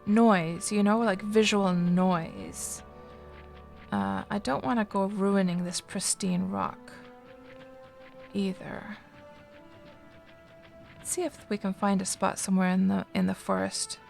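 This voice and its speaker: A woman's voice: medium pitch, steady tone, slow pace, creaky, pauses often, darkish tone, faint music behind. Chatty woman streaming a game. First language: Finnish. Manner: chatty, thinks aloud with many fillers and restarts. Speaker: game livestreamer. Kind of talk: casual livestream chat, answering viewers.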